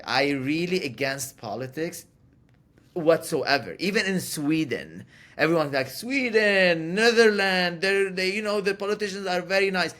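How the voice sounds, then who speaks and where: A man with a fairly high voice, talking as an interview guest. He is candid and emphatic, often repeating a phrase to drive it home. He sounds middle-aged. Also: fairly bright tone. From Lebanon.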